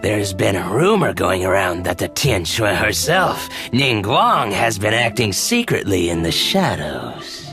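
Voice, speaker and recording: A genial, shrewd businessman in a video game. He speaks slowly and expansively, with lively intonation and a slightly pompous polish, over clear background music. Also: lowish voice. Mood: eager, knowing. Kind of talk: video game character acting.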